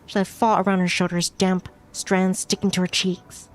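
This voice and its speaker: A woman's voice: fairly low pitch, flat delivery, normal speed. Woman reading a story aloud over music. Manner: stumbles over words and rereads lines. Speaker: streamer reading a visual novel aloud. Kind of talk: read-aloud story narration. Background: music behind the voice.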